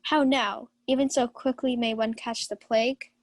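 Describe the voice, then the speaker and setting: A proud noble lady of a Shakespeare radio drama, played by a young woman. She speaks with theatrical command in a fairly high voice, recorded dry. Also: fairly even intonation, moderate pace, no echo. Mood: smitten. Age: young adult. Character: Olivia, proud, imperious young countess. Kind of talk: 1940s radio Shakespeare acting.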